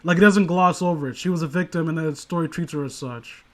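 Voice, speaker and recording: Higher-voiced man, rambling and sarcastic. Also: fast, a bit bright. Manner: rambling, sarcastic, crude humour. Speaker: co-host of a comedic commentary show. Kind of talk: casual comedic commentary.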